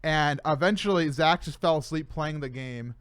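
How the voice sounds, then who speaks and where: A high-pitched man hosts a relaxed chat show and talks in an animated way, often restarting his sentences. The sound is dry and close, with no room echo around it. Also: moderate pace, smooth. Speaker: host. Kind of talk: casual podcast chat.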